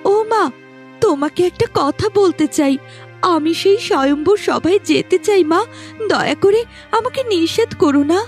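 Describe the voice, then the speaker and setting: A woman voicing a gentle, mistreated young village stepdaughter in a cartoon, earnest and plaintive. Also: very high voice, expressive, moderate pace, faint music behind. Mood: pleading. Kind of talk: cartoon voice acting.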